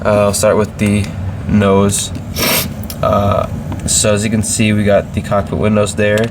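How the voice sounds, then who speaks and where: A man's voice: lowish voice, completely flat delivery, a little slow, noisy background. A man with a slight cold reviewing a model airplane in a casual, hesitant way, with many ums and restarts.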